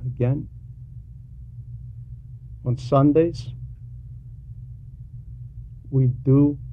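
A man preaching a sermon with emphatic, deliberate phrasing and frequent pauses. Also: medium pitch, steady tone. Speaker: preacher reading a translated homily. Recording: dull lo-fi recording with background noise.

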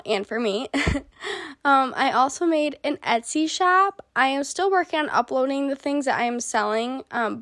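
A woman's voice: high voice, fairly bright tone, no room sound, clean studio sound. A young woman in her twenties hosting a casual solo podcast, congested from a cold, chatty with frequent ums. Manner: chatty, many ums, laughs often. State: sick, congested. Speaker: podcast host.

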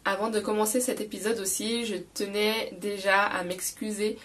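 A young woman with a cold, slightly hoarse and nasal, hosting a relaxed spoken French lesson with many fillers and careful repetition. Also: mid-range voice, distant mic, very noisy. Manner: frequent fillers, repeats key words slowly. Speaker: podcast host teaching French.

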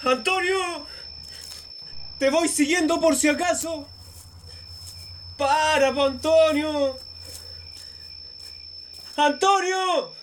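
Woman acting a high-voiced, frightened Chilean youngster. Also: drawn-out words, long pauses, a little hiss or hum. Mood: alarmed calling. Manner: urgent, pleading, slangy. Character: frightened young friend who saw the devil. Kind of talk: radio drama acting.

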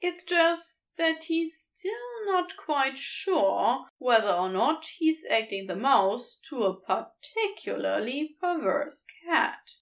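Slow, lilting woman reading a story. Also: high-pitched. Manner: unhurried, expressive storytelling. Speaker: podfic reader. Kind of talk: scripted narration of fan fiction.

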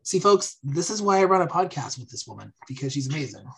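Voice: mid-range voice, big pitch swings, fast, vocal fry. A podcast co-host in casual chat, upbeat and teasing, laughing often.

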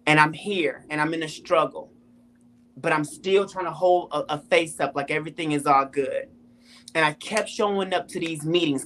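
A woman's voice: sits low, fairly bright tone, a little hiss or hum. A woman in her thirties telling her personal testimony in an interview, emphatic and repeating phrases for weight. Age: 30s. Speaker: interview guest.

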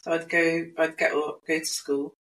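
A woman's voice: low-pitched, moderate pace, low-quality recording. A woman in her thirties, a guest sibling, chatting casually on a podcast and laughing often as she tells stories. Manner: relaxed storytelling, laughs often.

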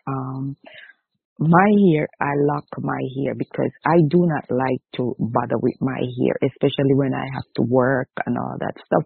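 A man's voice: medium pitch, creaky, breathy edge, dry recording. A Jamaican-accented man from Jamaica, talking as an interview guest in a plain, earnest, repetitive way. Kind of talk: casual interview talk. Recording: tinny dry phone line.

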